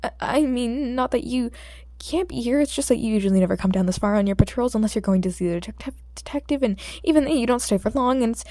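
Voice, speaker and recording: A woman's voice: fast, mellow, no room sound, studio quality, natural voice. A woman voicing a nervous, sheepish teenage boy who rambles, stammers and apologises in a fan fiction audiobook. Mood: nervous, rambling. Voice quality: squeaky when flustered.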